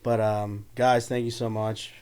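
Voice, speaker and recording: A man hosting a podcast, upbeat and a bit halting, with ums between phrases. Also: on the low side, little pitch movement, darkish tone, clean tone. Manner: enthusiastic, halting, with fillers. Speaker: podcast host. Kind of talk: casual interview chat.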